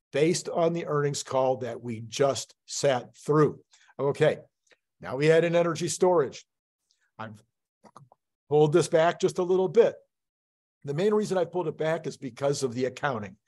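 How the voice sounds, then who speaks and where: A man's voice: a little high, a little mellow. A man hosting a channel, talking casually and emphatically as he walks through spreadsheet projections. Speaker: host of a finance channel.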